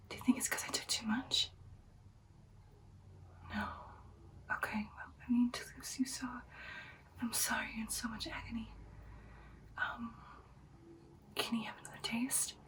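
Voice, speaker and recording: Anxious, tender vampire girlfriend, acted by a woman for ASMR roleplay: higher-pitched whisper, soothing and halting, slightly away from the mic. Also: far from the mic. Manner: soft, hushed, halting, soothing personal attention. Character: caring, anxious vampire girlfriend tending the wounded.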